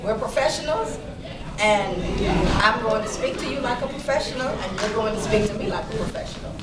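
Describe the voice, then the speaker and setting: A woman's voice: a little high, brisk. Bahamian-born woman speaking in an echoey room. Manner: chatty, laughs often, answers audience questions. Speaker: presenter on public speaking. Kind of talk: informal talk to a small group. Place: library meeting room. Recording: echoey room, speaker far from mic, clear background noise.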